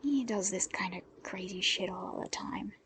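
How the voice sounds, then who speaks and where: A woman voicing a gruff, offhand, streetwise teenage boy in an audiobook, low and breathy. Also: moderate pace, fairly bright tone, a little hiss or hum, close to an everyday voice. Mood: offhand urging.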